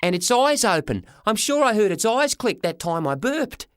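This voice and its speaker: A man's voice: sits high, big pitch swings, moderate pace, fairly bright tone, pitched above the performer's own voice. A man voicing an earnest, slightly defensive young boy in a children's audiobook, speaking insistently.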